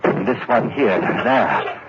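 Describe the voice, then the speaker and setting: An actor playing a nervous, guilt-ridden young murderer in a 1940s radio drama, stammering and quick to panic. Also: male voice, lowish voice, very animated. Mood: brooding. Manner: jittery, stammering, rising to panic. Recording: dull old radio recording with hiss.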